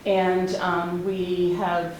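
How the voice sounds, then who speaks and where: A middle-aged woman, speaking earnestly and haltingly at a public meeting. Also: fairly low pitch, completely flat delivery, a little mellow. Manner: earnest, halting, many ums. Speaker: public commenter. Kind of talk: unscripted public comment. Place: community meeting hall. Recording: very echoey hall, faint noise.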